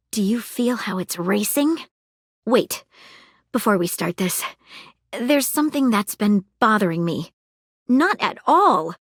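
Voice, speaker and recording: A woman voicing an eager, polite female magnifying-glass detective in a video game, crisp and precise, often apologetic. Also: on the high side, dry recording, studio quality. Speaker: detective character. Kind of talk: video game character dialogue.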